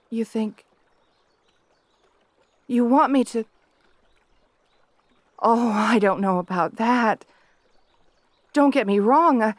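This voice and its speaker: Casting: actress as gentle princess bride for romantic ASMR audio drama; unhurried, hesitant, deferential, very dry close sound. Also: female voice, a little high, dry recording. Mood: incredulous, unsure. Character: shy, gentle, devoted young princess bride.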